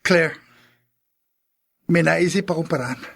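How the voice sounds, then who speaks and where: A man's voice: fairly high pitch, slow pace, creaky, fairly bright tone, slightly rough, heavily acted. An actor playing an earnest, indignant young man in love in a Filipino radio drama, pleading and insistent. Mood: urgent, persuading.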